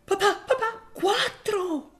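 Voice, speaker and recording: Reader doing shrill little boys, squealing, lots of music behind. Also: male voice, slow, fairly bright tone, exaggerated voice. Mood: excited. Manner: shrill, shrieking. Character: excited little boys crying to father.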